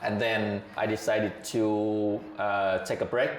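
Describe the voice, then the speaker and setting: A man, a podcast guest talking in a measured, thoughtful way with frequent hesitations. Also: lowish voice, little pitch movement, a little hiss or hum. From Vietnam. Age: 30s. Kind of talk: casual interview chat. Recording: echoey room.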